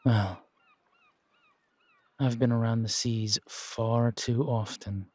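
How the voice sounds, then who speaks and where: Slow, husky, menacing pirate captain, acted by a man. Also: mid-range voice, steady tone, a little mellow, dry recording. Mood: suspicious, taunting. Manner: slow, taunting, deliberate drawl with dramatic pauses. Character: menacing, wry pirate captain, adult man, territorial and suspicious. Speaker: voice actor in audio roleplay. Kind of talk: scripted roleplay audio acting.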